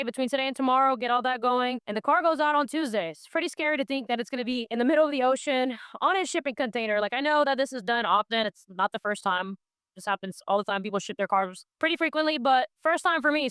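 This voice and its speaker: Upbeat woman with a smooth, dry voice. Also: high-pitched, a bit bright, dry recording. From United States. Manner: upbeat and chatty, addresses viewers directly. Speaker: racing driver and vlogger. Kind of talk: casual vlog talk to camera. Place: race shop garage.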